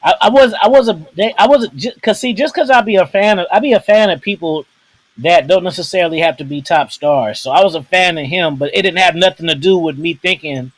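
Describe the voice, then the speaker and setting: Man, fairly high pitch, rambling, restarts a lot, laid-back chat. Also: a little fast, dry recording, rough recording. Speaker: podcast co-host. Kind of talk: casual wrestling talk.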